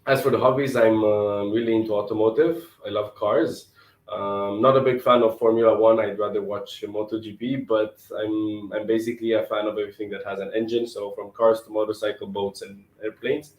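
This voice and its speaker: A man in his twenties from Romania, answering interview questions in a relaxed, thoughtful way and often repeating words as he thinks. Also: fairly low pitch, a little fast, reverberant, rough recording. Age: 20s.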